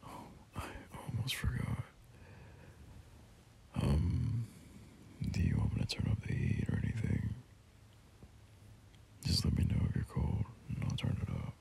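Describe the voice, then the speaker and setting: Very deep whispery boyfriend played by a man. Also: huge pitch swings, exaggerated voice. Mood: tender, reassuring. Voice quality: breathy, whispery, gravelly low murmur. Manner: gentle, hesitant, unhurried reassurance. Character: caring, soothing young boyfriend tending a sick partner. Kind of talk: boyfriend roleplay ASMR, soft half-whispered intimate talk.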